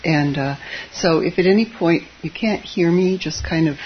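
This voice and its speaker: A soft-spoken Zen teacher giving an informal dharma talk to a live audience, hesitant and gentle, with frequent fillers and wry asides. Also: moderate pace. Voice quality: soft voice that tends to drop low. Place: meditation hall with a live audience. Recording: dull lo-fi audio with faint hiss.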